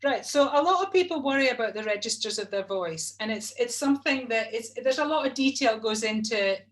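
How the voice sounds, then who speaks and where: Middle-aged woman vocal coach, talks through exercises on a webcam call, a bit high-pitched. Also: animated, brisk. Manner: chatty and instructive, demonstrates hums. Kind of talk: explaining voice technique. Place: home room, video call. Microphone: video call webcam.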